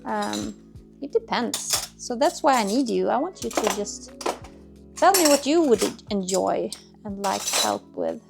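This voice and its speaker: A woman's voice: medium pitch, very animated, unhurried, creaky. A woman with a Swedish accent, probably in her thirties, chatting casually to camera while cleaning her kitchen, often searching for words and restarting sentences. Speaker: cleaning vlogger. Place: home kitchen. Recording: music playing underneath. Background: dishes clinking, quiet music.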